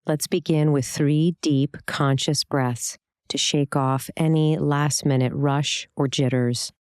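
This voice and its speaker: A meditation instructor guiding a scripted practice in a calm, soothing, gentle way, leaving long pauses. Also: medium pitch, clear, resonant tone, dry recording, studio quality.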